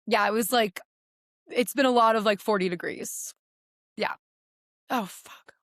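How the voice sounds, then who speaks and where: A woman's voice: fairly high pitch, a little slow, fairly bright tone, no room sound, clean studio sound. A woman from Texas chatting casually as a podcast guest, informal and peppering her talk with filler words like "like" and "kind of". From Dallas, Texas, United States. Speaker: podcast guest, sports publicist, writer and presenter. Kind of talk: casual chat.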